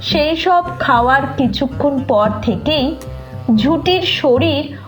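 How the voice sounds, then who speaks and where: A woman's voice: sits high, lively intonation, slow, soft background music, low-quality recording. A woman narrating a scripted children's story.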